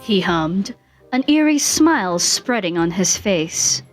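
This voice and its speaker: A woman narrates a fan fiction story with lively, swinging intonation. Her voice sits in a middle register, and soft music plays underneath. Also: medium pitch, slow.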